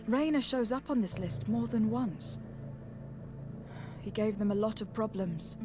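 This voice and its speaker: An actress playing a principled young noblewoman diplomat in a video game, earnest and protective, speaking in a measured, formal way. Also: on the high side, over music, natural voice. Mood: grave.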